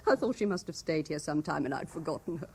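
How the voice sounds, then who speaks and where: A chatty, obliging hotel concierge in a 1970s radio play. Her voice is very low for a woman and mellow, with lively inflection and faint noise on the old recording. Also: a little fast, gravelly, husky, heavily acted. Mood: matter-of-fact.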